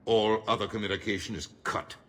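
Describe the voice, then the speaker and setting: An actor playing a gruff, defiant human politician in a sci-fi video game, blunt, oratorical and determined. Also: male voice, lowish voice, flat delivery, a little fast, a bit bright. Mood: grimly resolute. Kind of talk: dramatic video game acting.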